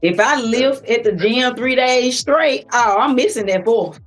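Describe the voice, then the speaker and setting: A woman's voice: fairly high pitch, a little slow, faint music behind. A woman in her forties co-hosting a podcast, joking in a laid-back way with frequent laughter. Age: 40s. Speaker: podcast co-host. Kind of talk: casual sports chat.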